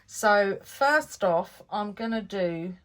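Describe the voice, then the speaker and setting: A woman's voice: mid-range voice, animated, slow. A woman hosting a craft vlog, chatting and thinking aloud as she works, a bit stuffy with a cold. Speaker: craft channel host. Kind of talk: casual crafting vlog talk.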